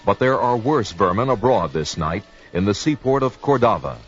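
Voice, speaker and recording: A man's voice: lowish voice, animated, normal speed, dull audio. A man giving dramatic scripted narration as the storyteller on a vintage adventure record.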